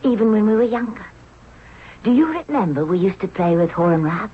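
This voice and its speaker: An actress playing a strong-willed, frank young princess in an old-time radio drama. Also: female voice, mid-range voice, expressive, brisk, natural voice. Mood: soothing, frank. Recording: muffled radio recording with clear noise.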